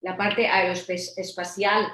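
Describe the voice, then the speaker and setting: A woman's voice: medium pitch, flat delivery, slow pace, bright, forceful tone, reverberant, low-quality recording. A woman from Colombia presenting real estate investment in a webinar, listing facts and figures in a promotional way.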